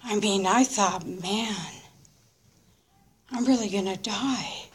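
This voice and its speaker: A woman in her early fifties giving a candid, unscripted personal testimony in short, halting, reflective phrases. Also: mid-range voice, slightly rough. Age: early 50s. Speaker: recovering alcoholic sharing her story.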